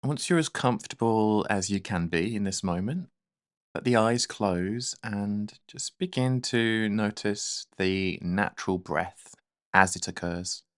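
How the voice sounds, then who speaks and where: A man leading a guided meditation in a gentle, soothing, unhurried manner. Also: on the low side, no room sound, studio quality.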